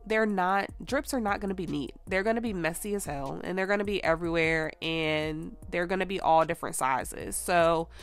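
Hoarse, stuffed-up woman vlogger. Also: fairly low pitch, moderate pace, few pauses. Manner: chatty and rambling, full of "like" and "you guys". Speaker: nail art vlogger. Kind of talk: casual nail art tutorial.

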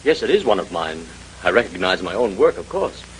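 A shabby, sensitive artist in a vintage radio drama. He sounds plaintive and hurt, with widely moving pitch, on a faintly noisy recording. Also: big pitch swings, a little fast. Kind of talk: 1930s radio mystery acting.

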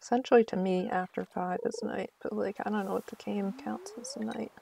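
A woman's voice: mid-range voice, a little fast, gravelly, warm tone, a little hiss or hum. A woman chatting casually on a live game stream, thinking aloud in a self-deprecating way.

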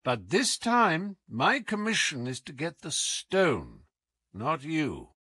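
A man voicing a sharp, commanding detective in an audiobook, coolly taunting, with deliberate, teasing emphasis. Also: fairly high pitch, big pitch swings, slightly rough, no echo, studio quality, voice pushed up, heavily acted. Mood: calm, persuasive. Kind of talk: audiobook character acting.

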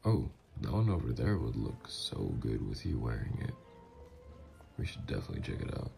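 Man playing a very deep-voiced, doting mafia lover. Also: huge pitch swings, a little fast, creaky, dark tone, heavily acted. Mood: flirty, teasing. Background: music.

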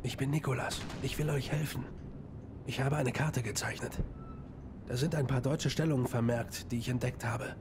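Male actor as worried wartime resistance father, mid-pitched, urgent and cautious, game dialogue over clear rumble. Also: fairly even intonation, moderate pace, natural voice. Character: worried Dutch resistance father helping Allied soldiers. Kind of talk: dubbed war game dialogue.

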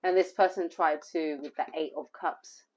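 A hoarse woman giving an intuitive tarot reading, rambling and repeating phrases with plenty of fillers. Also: deep voice, fairly even intonation, moderate pace, darkish tone, dull audio. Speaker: tarot reader.

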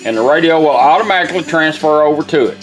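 A man's voice: on the high side, animated, fast talker, poor audio. A middle-aged or older man talks in a folksy, plain-spoken way, casually demonstrating a product hands-on. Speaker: vintage car radio restorer and product demonstrator. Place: indoors at a workbench. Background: music and radio playing.

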